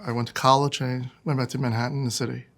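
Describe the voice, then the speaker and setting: A man's voice: mid-range voice, level tone, fast talker, music in the background. A man, a fund manager talking candidly about his career in a plainspoken, emphatic way. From New York City. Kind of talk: conversational interview monologue.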